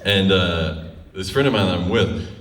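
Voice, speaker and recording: A low-pitched male storyteller speaks in a roomy, echoing space, picked up from some distance. He recounts a personal tale in a conversational, comic way. His telling is animated, with pauses for effect. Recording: roomy echo, distant microphone.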